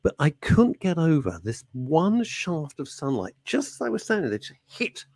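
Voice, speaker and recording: A man's voice: a little high, moderate pace, dry recording. A man co-hosting a radio talk show, telling rambling stories with laughs and false starts in casual studio chat. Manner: lively, rambling storyteller with laughs and restarts.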